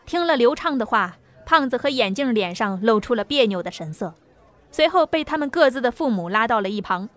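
A woman's voice: on the high side, expressive, a little fast. A woman narrating a novel as an audiobook, reading a dramatic script. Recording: music under the voice.

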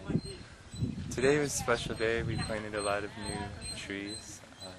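A young American man from New York in his twenties giving a measured, pausing testimonial outdoors to a camera. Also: fairly low pitch, fairly even intonation. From New York, United States. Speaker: event participant. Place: outdoors by a thatched hut. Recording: outdoor recording with loud background chatter. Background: crowd noise.

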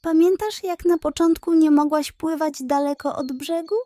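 Woman as a high-voiced encouraging seagull friend. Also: steady tone, moderate pace, mellow, no echo, lightly acted. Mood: gently encouraging. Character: kindly encouraging female seagull, patient mentor and friend. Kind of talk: children's bedtime audio story.

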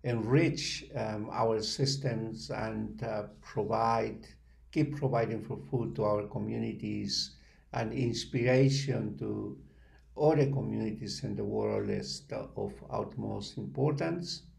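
Slow, very gravelly older man. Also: on the low side, expressive, a little mellow. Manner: halting, deliberate, many pauses. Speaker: network spokesperson. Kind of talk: webinar remarks. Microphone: video call, computer microphone.

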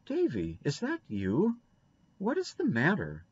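A man voicing a gentle, patient young woman in a storybook, tenderly questioning and soothing a child. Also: a little high, wildly swooping pitch, measured pace, breathy edge, muffled recording, voice pushed up, exaggerated voice. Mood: gentle concern. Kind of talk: storybook character reading.

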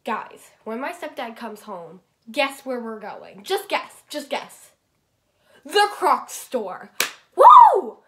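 A woman's voice: high-pitched, slow, husky. A young woman vlogging on a handheld phone, chatty and bubbly as she talks straight to her viewers. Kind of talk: casual vlog to a phone camera. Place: car, bedroom and a busy shopping mall. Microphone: handheld phone camera at arm's length. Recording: phone audio with steady background noise.

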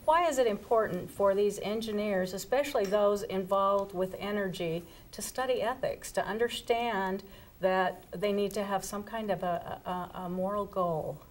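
A woman's voice: medium pitch, moderate pace. A middle-aged woman hosting a studio panel discussion, welcoming guests and putting questions to them. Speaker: host, moderator. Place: television studio set. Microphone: lapel mic.

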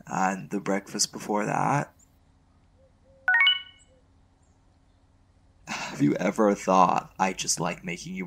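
A man acting as a shy, smitten young college mage in an intimate boyfriend roleplay, speaking casually and haltingly. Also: low-pitched, creaky, background noise, full character voice. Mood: teasing, casual. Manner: hesitant, halting, casual slangy delivery.

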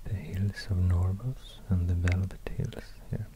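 A man whispers softly and very slowly in a Swedish accent, as in a relaxation ASMR session. His voice is low and breathy, with hesitant phrasing, close on a binaural mic. Noticeable noise and small clicks sit in the recording. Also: fairly even intonation. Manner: slow, hesitant, murmuring to the listener. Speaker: ASMR creator. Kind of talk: soft-spoken whispered ASMR. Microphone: close binaural microphone.

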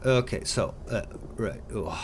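A man's voice: fairly low pitch, expressive, a little slow. A man giving casual, rambling play-through commentary as a gaming video host. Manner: chatty, rambling, jumpy exclamations. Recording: noisy voice-over with game sounds. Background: video game sounds.

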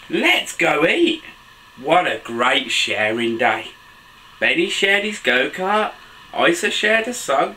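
A man in his thirties reading a picture book aloud to children in an expressive, sing-song, enthusiastic way. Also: on the high side, slight background noise, poor audio. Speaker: dad reading children's books for a video channel. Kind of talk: animated storybook read-aloud for children. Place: small bedroom with a bed and soft toys.

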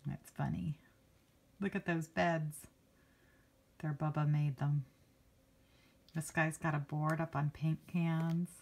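A woman's voice: low-pitched, little pitch movement, takes it slowly, gravelly, long pauses. A woman reading a picture book aloud to children like a teacher, expressively stretching key words and adding casual asides. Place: indoors, book held before a handheld camera.

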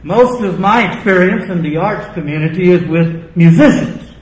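An older man giving a spoken-word recital at a live reading, with deliberate, dramatic phrasing and pauses, speaking into a stand microphone. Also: high-pitched. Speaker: spoken-word performer and lyricist. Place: small indoor venue stage. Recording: roomy live microphone sound.

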